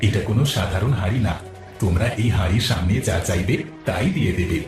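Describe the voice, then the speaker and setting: A gentle, grateful whale from a cartoon fairy tale, acted by a man. The voice is very low and calmly reassuring, heard distant in heavy echo with clear music behind. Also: quick pace, close to an everyday voice. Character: magical young whale, gentle and grateful, giving gifts. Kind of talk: cartoon voice acting.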